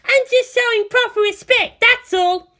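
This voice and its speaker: A small girl, put on by a woman narrator, pitched very high. She is prim and cheeky by turns, the two-faced youngest sister of an anime-style story. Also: measured pace, pushed and bright, exaggerated voice. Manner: prim politeness over cheek.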